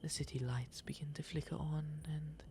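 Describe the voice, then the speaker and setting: A man voicing a refined, gentle owl demon gentleman in a soft, breathy ASMR roleplay, speaking with courteous, eloquent phrasing. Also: on the high side, fairly even intonation, slight background noise, close to an everyday voice. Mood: calm, reflective. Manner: genteel, eloquent, unhurried phrasing. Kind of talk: ASMR roleplay, intimate character acting.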